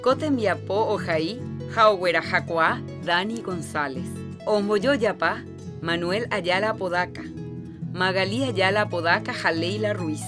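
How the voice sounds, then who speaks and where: A woman's voice: mid-range voice, measured pace. Paraguayan lady reading the credits, formal, guitar playing under. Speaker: credits announcer. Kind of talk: reading radio credits in Guarani. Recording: studio voice over guitar music.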